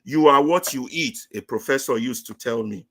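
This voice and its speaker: Nigerian host guy, mid voice, reads his remarks slow and deliberate. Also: mid-range voice, fairly bright tone. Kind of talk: prepared talk-show remarks.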